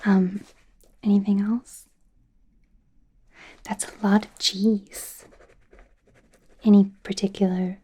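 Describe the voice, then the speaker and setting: A woman playing a nervous, awkward werewolf doctor in an ASMR roleplay, whispering softly in a hesitant, rambling, self-deprecating way. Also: mid-range voice, flat delivery, slow, pauses often. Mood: hesitant, self-doubting. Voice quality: soft breathy whisper.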